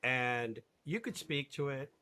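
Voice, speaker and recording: A male host with a bright, forceful tone and an ordinary mid-range pitch. He talks in an animated, emphatic way and laughs often as he interviews a guest on a live webinar. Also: slow pace, slight background noise.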